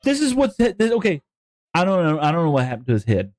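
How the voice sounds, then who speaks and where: A man's voice: fairly high pitch, huge pitch swings, fast talker, darkish tone, no room sound, studio quality. A man with a Texan accent chatting to his viewers on a live gaming stream, rambling and joking as he plays. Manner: rambling, jokey, talks to chat.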